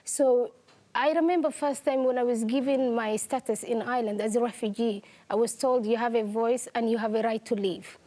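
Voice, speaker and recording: Young woman, earnest, simple phrasing, slightly high voice. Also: steady tone, normal speed, mellow. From Somalia. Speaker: guest, activist. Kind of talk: interview. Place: television studio.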